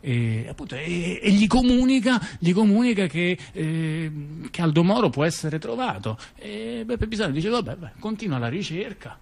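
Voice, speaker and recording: A man's voice: high-pitched, big pitch swings, moderate pace, gravelly, hardly any pauses, darkish tone. A man telling a long story in a theatrical radio dialogue, chatty and digressive, full of asides and fillers. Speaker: theater performer.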